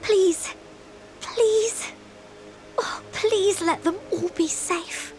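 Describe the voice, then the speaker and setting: A woman's voice: high-pitched, animated, drawn-out words, faint music behind, full character voice. A woman voicing an anxious, caring young woman in a video game, tender and pleading. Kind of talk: scripted video game cutscene acting.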